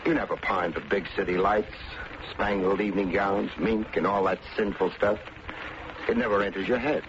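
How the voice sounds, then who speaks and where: An actor playing a wry, sardonic big-city private detective who needles people, in old-time radio drama style. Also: male voice, fairly low pitch, fairly even intonation, moderate pace, natural voice. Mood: wry, teasing. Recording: dull, noisy vintage radio recording.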